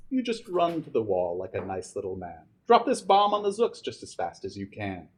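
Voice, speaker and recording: A man's voice: on the low side, huge pitch swings, moderate pace, a little hiss or hum, voice pushed down. A man voicing a pompous, reassuring chief who grandly gives orders in a children's storybook. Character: pompous, reassuring male chief sending a soldier to war. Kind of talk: children's storybook read aloud.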